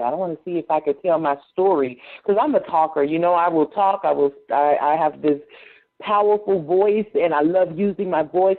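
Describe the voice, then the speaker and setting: Talkative woman poet, candid storyteller, phone-line sound. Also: on the low side. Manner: talkative, candid, restarts often. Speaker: guest speaker and poet. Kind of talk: personal storytelling on a call.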